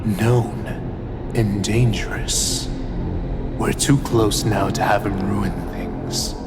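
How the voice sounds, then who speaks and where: An actor playing a cautious, menacing male conspirator in a fantasy audio drama, speaking in weighty pauses. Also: lowish voice, steady tone. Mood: brooding, menacing. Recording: music under the dialogue.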